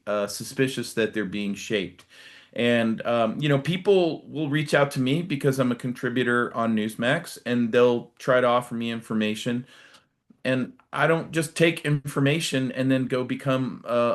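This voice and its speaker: American man giving measured political commentary. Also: mid-range voice. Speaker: guest commentator, retired military. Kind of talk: live political commentary, conversational.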